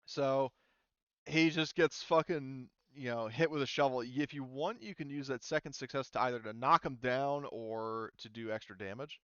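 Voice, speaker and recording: Smooth-voiced man, hesitant game master. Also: medium pitch, moderate pace, a bit bright, dry recording, lo-fi sound. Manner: hesitant, thinking aloud. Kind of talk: casual tabletop game narration and rules talk.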